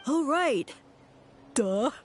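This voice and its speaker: A woman's voice: sits high, lively intonation, very slow, soft background music, heavily acted. A woman voicing a dim, fawning, easily impressed little mushroom creature in a video game, simple-minded and gushing. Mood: dim, playful.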